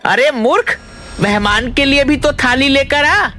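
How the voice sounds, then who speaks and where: A woman's voice: high-pitched, animated, unhurried, sharp tone, exaggerated voice. A woman voicing a fussy, stubborn, foolish village man in a cartoon, with a whiny, insistent, exaggerated delivery. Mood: bossy. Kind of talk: exaggerated Hindi cartoon dialogue.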